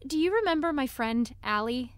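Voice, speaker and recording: A woman's voice: sits high, animated, moderate pace, clean tone, dry recording, studio quality. A young woman playing an earnest, anxious, apologetic teenage girl in an audio drama, hesitant and rambling. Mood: hurt, confessional. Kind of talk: naturalistic audio drama acting.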